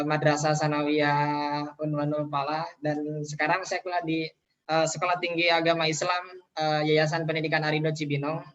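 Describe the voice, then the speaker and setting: A man's voice: fairly high pitch, a bit bright, muffled recording. A man in his twenties, speaking casually from home as a guest speaker in an online seminar, with many fillers and light self-deprecating jokes. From Indonesia. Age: 20s. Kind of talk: online business seminar sharing. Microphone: video call.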